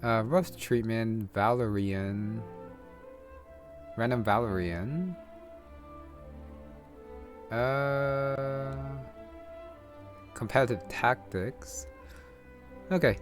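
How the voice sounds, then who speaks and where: Man, gameplay commentary, offhand, medium pitch, clear background music. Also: drawn-out words, long pauses. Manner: offhand, thinking aloud. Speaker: video game streamer. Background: game music.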